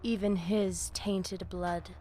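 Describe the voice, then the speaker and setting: A woman voicing a proud, scornful vampire war leader in a video game, measured and oratorical, dropping in Spanish words. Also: medium pitch, steady tone, mellow, faint music behind, lightly acted. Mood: solemn, rousing.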